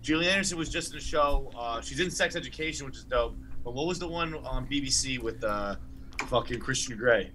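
A man's voice: mid-range voice, a little fast, background noise. A man chatting casually as a podcast guest over a video call, exclaiming animatedly.

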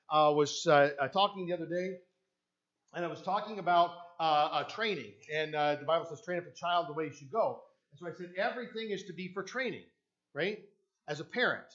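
A man's voice: high voice, fairly bright tone, muffled recording. An older Canadian man preaching a sermon in a church sanctuary, talking conversationally with restarts and frequent "right?" asks, pacing around a podium microphone. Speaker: pastor. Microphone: podium mic, speaker often walking away from it.

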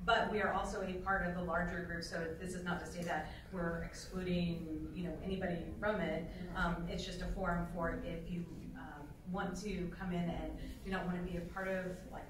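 A chatty woman explains droid building on a panel. Her voice sits a little low and carries many fillers. It is heard from across a large, echoey convention room with noise in the background. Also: flat delivery, brisk, hardly any pauses. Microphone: table mic recorded by a far camera in the audience. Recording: echoey hall from far off.

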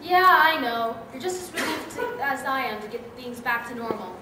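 A woman's voice: high voice, moderate pace, lightly acted. A woman playing a plucky, loyal, resolute heroine in a live radio play. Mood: resigned. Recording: echoey distant live hall, audience, noise.

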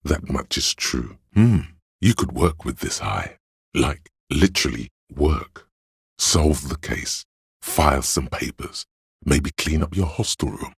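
An actor voicing a cool, analytical inner voice of logic in a detective game, narrating in a measured, dryly wry way. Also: male voice, rumbling low, animated, gravelly, slightly rough, no echo, studio quality, exaggerated voice. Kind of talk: scripted second-person game narration.

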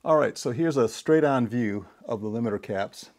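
A middle-aged man giving a casual, deliberate, step-by-step hands-on repair tutorial. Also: mid-range voice, darkish tone. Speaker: DIY repair demonstrator. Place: indoor home workshop.

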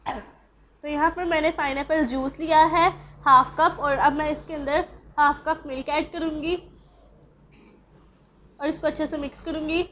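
A woman's voice: sits high, fairly even intonation, unhurried, pauses often, pushed and bright, lo-fi sound, a little hiss or hum. A woman from Pakistan, patiently explaining a recipe step by step as a cooking channel host. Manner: patient, instructive, addresses viewers directly.

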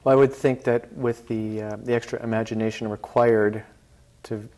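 A middle-aged man with a medium-pitched voice. He hosts a studio interview, putting questions to his guests in a casual, conversational style. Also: steady tone, moderate pace, darkish tone. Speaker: talk show host. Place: small TV studio, seated at a table.

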